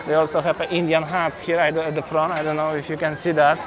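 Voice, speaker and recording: A man's voice: a little high, level tone, quick pace, crowd noise. A man from Poland with a Polish accent, walking and talking through a busy outdoor street market for his travel vlog, chatty and repeating his words. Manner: chatty, repeats words, simple non-native phrasing. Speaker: travel vlogger.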